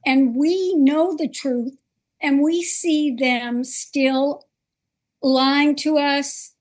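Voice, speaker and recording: Slow, high-voiced woman political host. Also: fairly even intonation. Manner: earnest, emphatic, slow and deliberate. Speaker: political talk show host. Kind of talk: conservative political talk.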